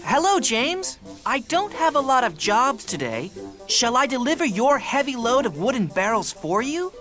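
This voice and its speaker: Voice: mid-range voice, big pitch swings, moderate pace, fairly bright tone, faint music behind. An actor voicing a cheeky, eager young male steam engine in a children's cartoon, earnest and exclamatory. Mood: scheming. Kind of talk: children's cartoon character acting.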